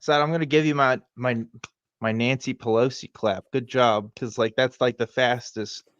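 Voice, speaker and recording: A man's voice: medium pitch, fairly even intonation, moderate pace, fairly bright tone, no echo, muffled recording. A man hosting a live show, chatting casually and joking in a sarcastic manner. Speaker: host of a live weekly show. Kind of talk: casual, joking commentary chat.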